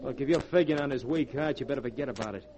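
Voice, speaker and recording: A man's voice: mid-range voice, very fast, noisy background, close to an everyday voice. An actor playing Eddie, a brash, wisecracking young male dancer in a 1940s radio drama. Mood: scornful. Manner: cocky, wisecracking, slangy.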